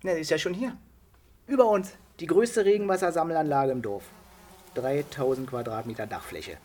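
A man's voice: fairly high pitch, moderate pace, a little mellow, a little hiss or hum, lightly acted. An actor playing an inventive, brooding village man in a radio play, folksy and explanatory, with a Berlin-Brandenburg German accent. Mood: earnest, insistent. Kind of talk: naturalistic radio play dialogue.

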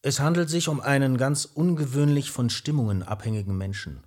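Detached professor shrink man acting, medium pitch, reading clinical report, radio drama. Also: brisk, darkish tone, close to an everyday voice.

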